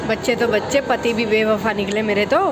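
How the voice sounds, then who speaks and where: A woman's voice: mid-range voice, fairly even intonation, brisk, loud music behind the voice. A woman chatting casually to the camera in a family vlog, teasing and talking to viewers as she walks through a city and a mall. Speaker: family vlogger. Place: outdoors in a busy city and a shopping mall. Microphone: phone camera. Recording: loud crowd and street noise. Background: crowd and traffic noise.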